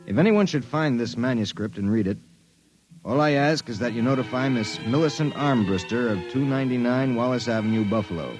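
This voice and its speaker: A man's voice: mid-range voice, animated, brisk, over music, lightly acted, low-quality recording. Male actor as wisecracking detective narrator, old radio drama, faint score. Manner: wisecracking, hard-boiled. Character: hard-boiled private detective narrator.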